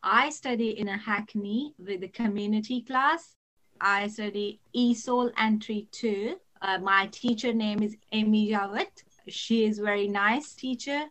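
A woman's voice: medium pitch, unhurried, fairly bright tone, low-quality recording. A woman in her twenties from India, an ESOL student, speaking in short simple sentences with some fillers. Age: 20s. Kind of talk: podcast interview answers.

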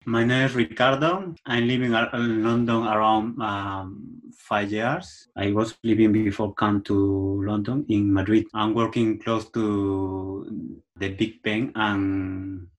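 A man's voice: on the low side, little pitch movement, takes it slowly, poor audio. A man who is an English learner, talking hesitantly with fillers in a class discussion. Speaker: ESOL student. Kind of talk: casual self-introduction and discussion.

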